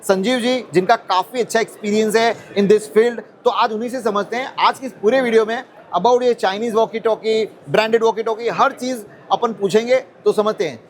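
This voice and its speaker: A man's voice: high voice, fairly even intonation, moderate pace, few pauses. A man hosting a conversational explainer interview, mixing English phrases into his Hindi. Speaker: host interviewing a guest.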